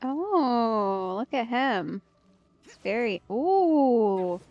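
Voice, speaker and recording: A woman's voice: fairly high pitch, very animated, very slow, darkish tone, dry recording, lo-fi sound. A woman game streamer giving casual, playful let's play commentary, reacting and thinking aloud. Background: faint game music.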